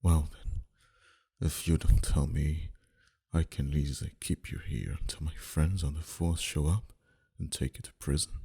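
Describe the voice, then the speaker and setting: Man acting a very deep, husky mafia boss. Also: mellow, exaggerated voice. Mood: menacing. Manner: dominant, teasing, unhurried, with breathy whispered phrases. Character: smug, flirtatious, menacing male mafia boss. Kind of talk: intimate ASMR roleplay acting.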